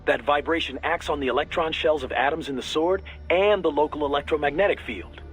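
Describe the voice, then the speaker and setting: A man's voice: a little high, a little fast, soft background music, natural voice. An actor playing an easygoing, tech-savvy male mission advisor in a video game, explaining things casually and keenly. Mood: keen, explanatory. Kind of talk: video game radio briefing voice acting.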